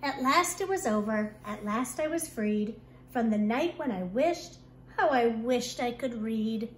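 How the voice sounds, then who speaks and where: A woman reads a rhyming children's book aloud like a kindergarten teacher, expressive and deliberate. Her pace is slow and her voice is high. The recording has clear noise behind her. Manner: expressive, deliberate storytelling delivery. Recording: echoey room with clear background noise.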